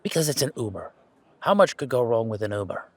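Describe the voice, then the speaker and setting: A man's voice: medium pitch, expressive, quick pace, a faint crowd, lightly acted. A man playing an affectionate, anxious young gamer in a conversational audio roleplay, talking in a hesitant, rambling way. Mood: fond, rambling. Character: affectionate, anxious, self-deprecating young gamer, wary of family.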